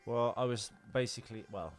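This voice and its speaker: A man's voice: medium pitch, brisk, soft background music. A man co-hosting a podcast in casual, rambling banter with some swearing. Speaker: podcast co-host.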